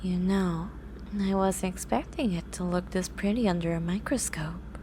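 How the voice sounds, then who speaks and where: A woman's voice: fairly low pitch, normal speed, background noise, lightly acted. A woman voicing a grumpy, obsessive young horse-girl scientist in an ASMR roleplay, talking in a precise, scholarly, bossy way. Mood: focused, pleased.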